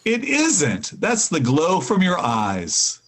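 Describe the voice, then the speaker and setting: Actor as a cheerful teasing husband, lighter male pitch, slow pace, vintage radio comedy. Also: wildly swooping pitch, heavily acted. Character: breezy, teasing husband rushing off to work. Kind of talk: 1940s radio comedy acting.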